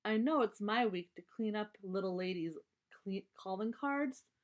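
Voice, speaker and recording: A woman's voice: medium pitch, measured pace, highs cut off, lightly acted. A woman voicing a young girl bear cub from a storybook who pleads and complains in a whiny manner. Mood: pleading.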